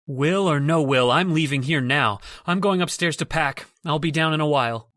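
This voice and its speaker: An actor playing a scheming, cold-blooded murderer who hides behind a respectable front in an old-time radio horror drama. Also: male voice, a little high, moderate pace, a bit bright, a little hiss or hum, lightly acted. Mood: feigned unease.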